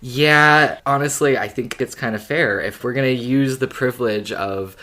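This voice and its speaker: A woman's voice: a bass voice, moderate pace, bright, forceful tone. A woman co-hosting a podcast in casual, informal conversation. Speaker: podcast co-host.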